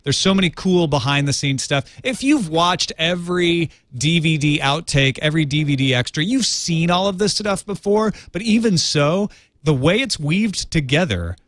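A man's voice: a little high, lively intonation, normal speed, fairly bright tone, dry recording. A man hosting a casual podcast from a small studio on a studio mic, upbeat and steering the talk. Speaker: host. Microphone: headphones and studio mic.

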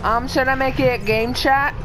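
An excitable woman gamer with a fairly high voice, shouting playful taunts in casual chat. Clear game music plays behind her. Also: unhurried.

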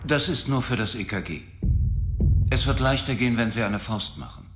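An actor playing a formal prison official who runs executions in a radio drama. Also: male voice, medium pitch, animated, moderate pace, natural voice. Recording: echoey room, music behind.

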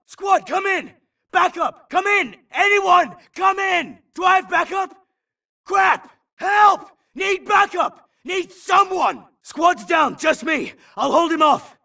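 Male game soldier: urgent, clipped battle barks, very high and gravelly, tough and disciplined. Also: bright, forceful tone, far from the mic, exaggerated voice. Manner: clipped, urgent radio-call phrasing. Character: combat soldier grunt, urgent, tough, disciplined military man. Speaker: game voice actor.

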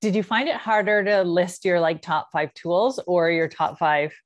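A woman's voice: fairly low pitch, steady tone, moderate pace. A woman co-hosting a podcast in a casual, conversational style. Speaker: podcast co-host.

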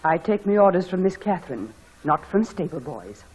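Husky, motherly servant woman. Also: fairly low pitch, big pitch swings, normal speed, a little mellow, muffled recording. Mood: indignant. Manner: probing, plain-spoken. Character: loyal, blunt, motherly female house servant. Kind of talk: 1940s radio drama acting.

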